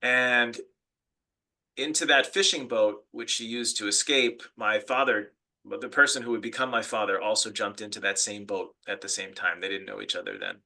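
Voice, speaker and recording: American guy giving a webinar talk, earnest, lots of ums, kinda low but really bright voice. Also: steady tone, normal speed. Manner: earnest storytelling with fillers and restarts. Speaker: academic speaker. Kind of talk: online lecture.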